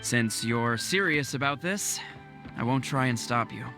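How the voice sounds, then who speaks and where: An actor playing a loyal, dutiful royal guard officer in a video game, speaking formally and earnestly. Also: male voice, medium pitch, animated, unhurried. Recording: music under the voice.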